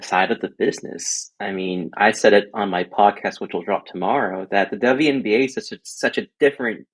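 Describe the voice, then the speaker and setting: Husky-voiced man talking sports. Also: fairly low pitch, rough recording. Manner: emphatic, building arguments with asides. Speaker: guest sports writer. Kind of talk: casual sports commentary.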